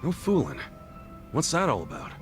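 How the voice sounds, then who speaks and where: An actor playing a brash, warmhearted, loyal street tough in a video game cutscene, blunt and informal. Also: male voice, fairly high pitch, wildly swooping pitch, normal speed, music in the background. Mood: breezy, loyal. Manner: informal, blunt, swaggering, foul-mouthed.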